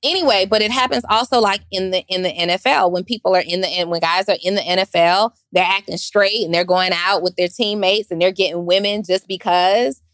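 Squeaky, raspy-voiced woman. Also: a little fast, hardly any pauses, no room sound. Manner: animated, acts out voices in quotes. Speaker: business owner and host. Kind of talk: casual panel chat.

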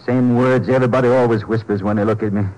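A man's voice: medium pitch, steady tone, fast talker, a little mellow, natural voice. A man playing a bitter, short-tempered, anxious ex-convict husband, in old-time radio drama style. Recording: noisy vintage 1950s radio recording.

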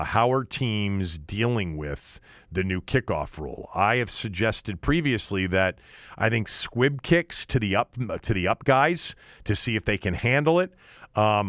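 A man hosting a sports show alone, talking casually and conversationally in halting phrases with asides and repetition. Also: mid-range voice, fairly bright tone, dry recording, clean studio sound. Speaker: sports podcast host. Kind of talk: casual sports commentary monologue.

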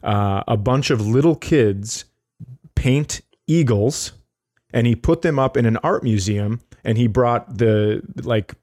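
Man, middle-aged, average pitch, casual comic storyteller, rambling, joking, podcast co-host. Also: slow pace, darkish tone. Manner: rambling, jokey.

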